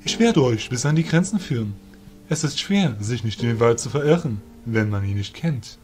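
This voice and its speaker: A man's voice: mid-range voice, expressive, darkish tone, soft background music, lightly acted. A man acting a proud, courteous fairy soldier guide with a formal manner in a fantasy audio drama. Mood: courteous, helpful. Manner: measured, formal.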